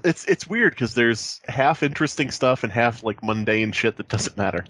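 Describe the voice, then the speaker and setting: A man's voice: medium pitch, animated, normal speed, a bit bright, dry recording. A man chatting casually as a podcast host, reading aloud and riffing with sardonic, sweary asides. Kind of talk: casual comedic chat, reading aloud with banter.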